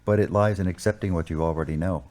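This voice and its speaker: A man as a deep-voiced, patient parish priest. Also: a little mellow, close to an everyday voice. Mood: earnest, encouraging. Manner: measured, counselling, formally worded. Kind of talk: amateur audio drama acting.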